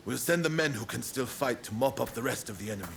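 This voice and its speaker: A man acting a composed, pragmatic military leader in a game cutscene, matter-of-fact and controlled, over background rumble. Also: expressive, fast, creaky. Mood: calm, satisfied.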